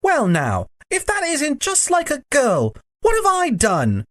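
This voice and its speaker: A storybook narrator voicing an earnest, excitable, defensive young boy with pleading, old-fashioned schoolboy phrasing. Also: high-pitched, slow, no room sound, pitched above the performer's own voice, heavily acted. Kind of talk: audiobook character dialogue.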